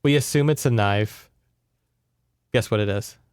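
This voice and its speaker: A man's voice: fairly low pitch, unhurried, darkish tone, clear, resonant tone, no echo, studio quality. A man hosting a podcast, retelling a book in casual, conversational chat and checking in with his listeners. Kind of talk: casual story recap chat.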